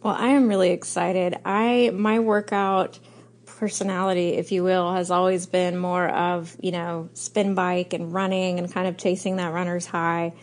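A woman's voice: on the low side, little pitch movement, measured pace. A woman hosting a podcast, chatting in an upbeat, enthusiastic way with frequent fillers. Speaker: podcast host. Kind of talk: casual podcast chat.

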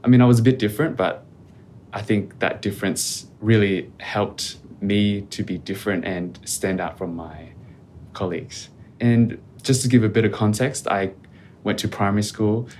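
Calm low-voiced man. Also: a little hiss or hum. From Australia. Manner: calm, reflective and measured. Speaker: podcast guest. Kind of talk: casual interview conversation.

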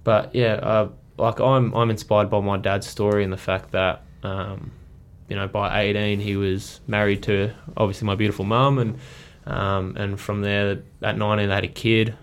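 A young man born in Belarus, talking in an easygoing, thoughtful way with frequent pauses as a guest in a casual chat. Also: on the low side, level tone, clean tone. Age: young adult. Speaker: guest athlete.

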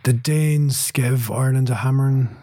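A man's voice: mid-range voice, steady tone, moderate pace, mellow, no room sound, studio quality. A man who works as a betting trader, a guest on a podcast, talking casually and rambling with lots of fillers. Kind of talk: casual sports chat.

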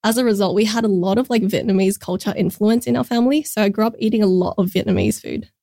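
Casting note: Australian woman, 20s, relaxed chatty guest, mid-pitched, dry close mic. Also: quick pace, gravelly, no room sound. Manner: friendly, chatty, frequent "like" and "kind of". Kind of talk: casual interview chat.

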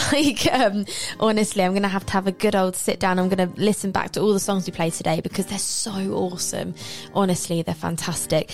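A chatty woman hosting a radio show, her voice a little worn from overuse. She laughs often and keeps things light, with faint music playing behind her. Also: medium pitch. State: slightly losing her voice. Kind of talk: casual radio interview.

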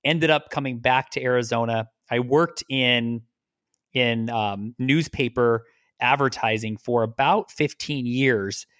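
Relaxed middle-aged American man, dry sound. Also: mid-range voice, unhurried, no echo. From United States. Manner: relaxed, rambling, repeats words. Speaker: guest, lawyer. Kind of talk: casual interview storytelling.

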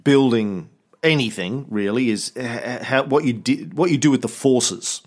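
A man's voice: medium pitch, normal speed. A man hosting a podcast in casual chat, joking around and swearing freely. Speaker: podcast host.

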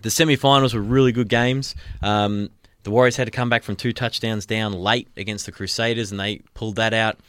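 An Australian man hosting a sports podcast in a studio, chatting casually with a relaxed, rambling delivery. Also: fairly low pitch, fairly quick, few pauses, clear, resonant tone, no room sound. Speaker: podcast host. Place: radio studio. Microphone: studio mic.

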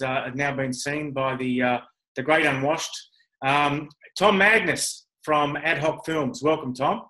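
A man's voice: medium pitch, sharp tone, rough recording. A man hosting a podcast interview in a casual, chatty, self-deprecating and rambling way. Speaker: podcast host.

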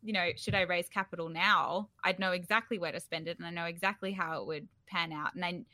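Interview guest, woman. Forward, bright tone, very dry close sound, informal and encouraging. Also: lowish voice, fairly even intonation, brisk, dry recording. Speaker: startup founder, interview guest. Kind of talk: casual conversational interview.

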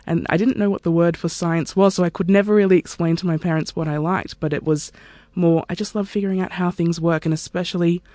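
A woman's voice: lowish voice, steady tone, quick pace, few pauses, dark tone, no room sound. A woman, a research scientist speaking candidly and reflectively about her work in an interview. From Australia. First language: Turkish. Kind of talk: interview monologue.

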